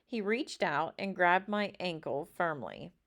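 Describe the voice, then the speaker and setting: A woman reading a children's story aloud expressively, with dramatic drawn-out emphasis. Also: fairly low pitch, animated, fairly bright tone. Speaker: storybook reader.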